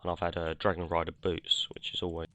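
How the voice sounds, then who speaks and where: A man with a deep, monotone voice narrates his gameplay in a relaxed, rambling way. His throat sounds croaky from a cold, and he fills pauses with "uh" and corrects himself mid-sentence. It sounds like a home headset recording. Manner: rambling, self-correcting, many fillers. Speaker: gameplay commentator. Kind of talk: casual game commentary.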